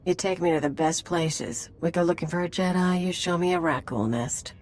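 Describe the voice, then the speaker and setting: Actress as a low-voiced sardonic female sidekick. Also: normal speed, darkish tone, slight background noise, natural voice. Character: sarcastic, tough female companion adventurer. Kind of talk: video game voice acting.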